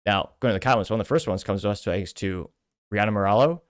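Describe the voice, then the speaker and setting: A man's voice: on the low side, fast talker, clear, resonant tone, no echo, clean studio sound. A man with an American accent giving casual, rambling political commentary as a show host, with offhand asides.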